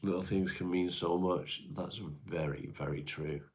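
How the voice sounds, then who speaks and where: Deep-voiced congested man. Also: fairly even intonation, measured pace, darkish tone. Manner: chatty, answers viewer comments one by one, calls people mate. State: congested, stuffy nose. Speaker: livestream host reading chat. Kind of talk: casual livestream chat.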